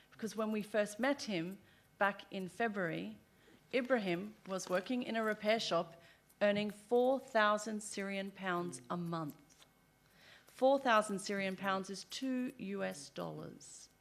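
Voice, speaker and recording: A woman's voice: mid-range voice, a little slow, a bit bright. Earnest woman giving a seminar talk. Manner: earnest, emphatic, speaks as children in first person. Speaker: aid organization speaker. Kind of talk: seminar speech. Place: parliament seminar room.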